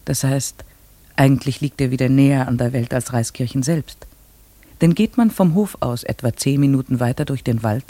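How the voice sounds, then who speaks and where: An actress playing a young village schoolteacher in an old radio play, questioning a pupil calmly and narrating in first person. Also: female voice, extremely low pitch, dry recording. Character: young unmarried female village teacher, strict but kind.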